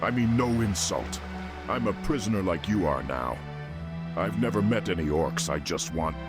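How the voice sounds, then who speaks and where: A man's voice: low voice, lively intonation, normal speed. A man playing an earnest, determined young orc escaped from slavery, speaking formally in a game cutscene. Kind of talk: dramatic game cutscene acting. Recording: music under the voice.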